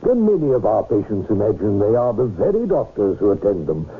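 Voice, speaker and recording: An actor playing a falsely reassuring, sinister sanitarium doctor, patronising and unruffled, in old-time radio drama style. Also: male voice, fairly low pitch, big pitch swings, brisk, slightly rough. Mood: calmly patronising. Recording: noisy, tinny radio drama recording.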